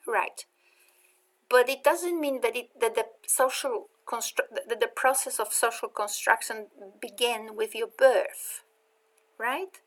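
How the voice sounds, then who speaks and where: A woman with a Polish accent, a native Polish speaker, giving a recorded university lecture in a slow, deliberate way with rhetorical questions. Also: medium pitch.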